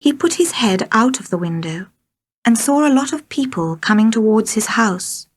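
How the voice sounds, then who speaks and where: A woman's voice: a little high, animated, normal speed, slightly rough. A woman reading short elementary stories aloud as scripted narration for learners. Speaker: story reader.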